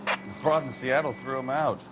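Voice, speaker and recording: A man's voice: mid-range voice, moderate pace, over loud music, close to an everyday voice, poor audio. An actor playing a world-weary, wisecracking male police detective with a sarcastic manner in a game cutscene. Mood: scornful. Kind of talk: video game cutscene acting.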